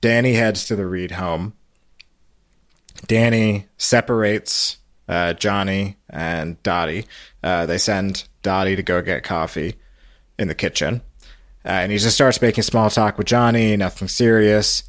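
A man giving a casual, halting, meandering storytelling recap on a podcast. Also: low-pitched, steady tone, no room sound, clean studio sound. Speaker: podcast guest recapping a radio show.

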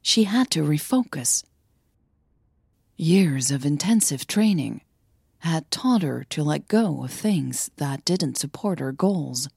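Slow, expressive low-voiced woman podcaster. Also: gravelly, slightly rough, dry recording, studio quality. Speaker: podcast host and narrator of audio fiction. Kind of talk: scripted story narration and casual outro chat.

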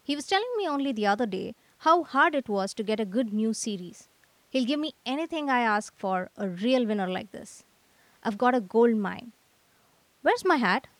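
A woman playing an excitable, impulsive young man, an artist, in a radio comedy, talking in exclamatory short bursts. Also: on the high side, normal speed, no room sound. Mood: elated, frantic. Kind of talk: radio comedy drama acting.

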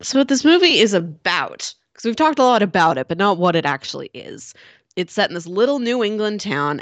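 A woman's voice: lowish voice, no room sound. A woman co-hosting a casual film discussion, explaining things informally with plenty of "like" thrown in. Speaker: podcast co-host.

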